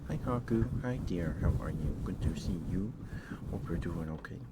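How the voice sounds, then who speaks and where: A man hosting an ASMR livestream, chatting casually in a halting, rambling way with pauses and repetitions. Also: deep voice, animated, very noisy. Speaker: livestream host.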